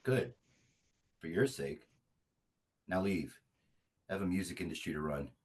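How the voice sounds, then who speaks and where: A man's voice: low voice, expressive, measured pace, darkish tone. A man reading the part of a menacing, theatrical crime boss in a script, dragging out his taunting threats. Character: menacing, theatrical male crime boss running music industry. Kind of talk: virtual table read of a script.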